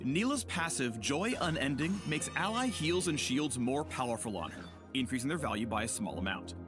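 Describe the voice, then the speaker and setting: A man's voice: mid-range voice, very animated, moderate pace. A man reading a scripted video game explainer as narrator, in a polished and playful manner. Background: music.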